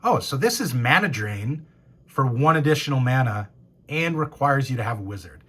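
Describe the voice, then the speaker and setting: Shop-owner host, 30s, male, hoarse and husky after a cold, lively pitch, excited casual unboxing patter. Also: normal speed. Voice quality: raspy. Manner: enthusiastic, reads card names and rules aloud. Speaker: game store owner. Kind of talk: casual box-opening commentary.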